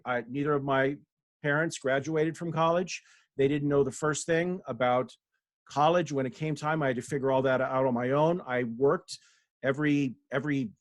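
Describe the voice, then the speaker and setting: A man's voice: on the high side, no echo. A man from Denver giving a humble, self-deprecating talk as a guest author over a video call, with many fillers and restarts. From Denver, USA. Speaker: guest speaker, author and professor.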